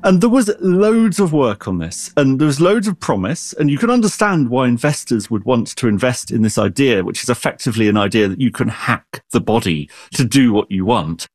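A man's voice: medium pitch, very animated, brisk, hardly any pauses, darkish tone, no echo, clean studio sound. A man who is an expert guest, explaining science in an interview with everyday analogies and frequent restarts.

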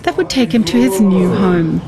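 A woman radio reporter reading a scripted news feature in a measured, even way. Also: mid-range voice, over a noisy crowd.

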